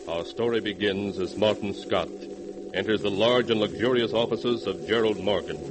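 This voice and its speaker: An actor playing a solemn, ominous narrator of horror tales in 1940s radio style. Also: male voice, on the low side, normal speed, noisy background, close to an everyday voice. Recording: vintage 1940s radio recording.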